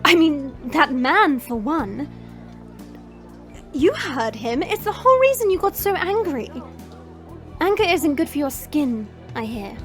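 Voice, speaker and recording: A woman's voice: sits high, very animated, unhurried, long pauses, soft background music. A woman playing a proud, guarded dragon princess in human form, speaking in a formal, imperious way in an audio fantasy drama. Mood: matter-of-fact, dismissive.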